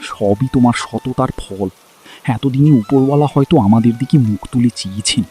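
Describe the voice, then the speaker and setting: A man's voice: medium pitch, a little fast, dark tone, husky, close to an everyday voice. A man voicing a poor, weary village husband in a cartoon, with a fretful manner. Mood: grateful. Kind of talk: cartoon character dialogue.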